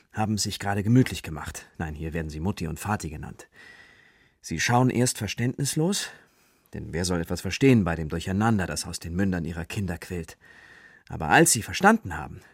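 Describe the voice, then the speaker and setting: Guy narrating kids radio story, low husky voice, close dry sound, bit of music. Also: dry recording. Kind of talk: children's radio play storytelling.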